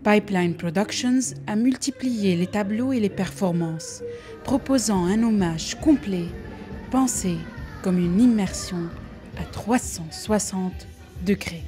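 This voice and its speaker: A woman reporter reading a measured news voice-over. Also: medium pitch, over music. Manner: even, measured narration.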